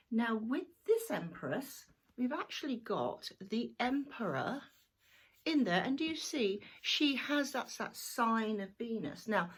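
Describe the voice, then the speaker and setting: A middle-aged woman with a croaky, gravelly voice, chatting homely through a weekly tarot card reading. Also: mid-range voice, poor audio. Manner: chatty, homely, with fillers like "you know". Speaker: tarot reader.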